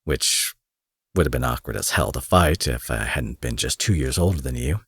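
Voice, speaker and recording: A man acting out a cocky, foul-mouthed young supervillain in an audio roleplay, husky, mocking and possessive, taunting his captive with drawled pet names. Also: extremely low pitch, normal speed, fairly bright tone, no room sound, heavily acted. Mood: mocking, insistent. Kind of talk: dramatic roleplay monologue addressed to the listener.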